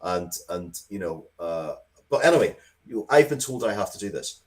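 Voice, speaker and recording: A man chatting casually as an interview guest, telling rambling, excitable anecdotes with repeated phrases and the odd swear word. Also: deep voice, normal speed. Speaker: interview guest, writer of comics and audio drama.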